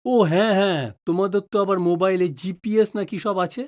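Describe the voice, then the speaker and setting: A woman's voice: medium pitch, steady tone, fast talker, a little mellow, lightly acted. A woman playing a wary, joking middle-aged father in an audio drama, with a teasing, exclamatory manner. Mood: friendly, chatty. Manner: teasing, mock-pompous, exclamatory.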